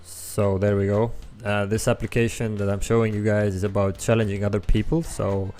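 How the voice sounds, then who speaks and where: A young man with an Estonian accent, his first language Estonian, walks through a coding tutorial in a relaxed way, with frequent ums as he thinks aloud. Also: on the low side, moderate pace. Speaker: app developer tutorial host. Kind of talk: screen-recorded coding tutorial. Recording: faint background music.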